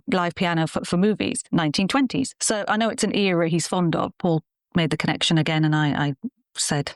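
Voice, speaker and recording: A woman's voice: deep voice, dry recording, studio quality. A British woman speaking conversationally in an interview as a radio drama producer.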